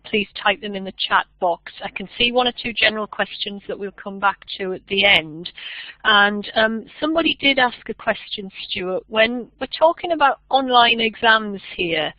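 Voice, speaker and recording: A woman's voice: fairly high pitch, dry recording. A woman chairing a webinar, politely relaying questions and handing over to speakers, on dull lo-fi audio. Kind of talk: moderating a webinar.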